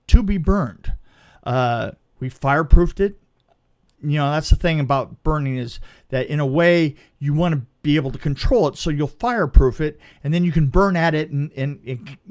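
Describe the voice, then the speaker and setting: A man's voice: a little high, moderate pace, a little mellow. A man telling a casual anecdote as a film crew guest, stammering and repeating words as he goes.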